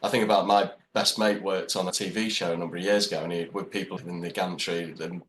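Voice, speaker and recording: Low-voiced man, rambling chat. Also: steady tone, quick pace, fairly bright tone. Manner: rambling, chatty, laughs while talking. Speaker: podcast co-host. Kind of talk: casual chat.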